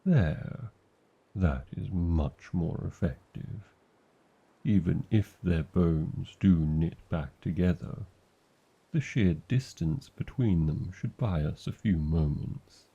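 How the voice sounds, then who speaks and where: A slightly muffled recording carries a very dark, mellow, gravelly British dragon voice, acted out by a man. He is a huge, proud and protective lover with old-fashioned, theatrical phrasing. His wry disdain sits beside tender endearments, as in a fantasy audio roleplay. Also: deep voice, lively intonation, slow, full character voice. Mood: menacing, amused.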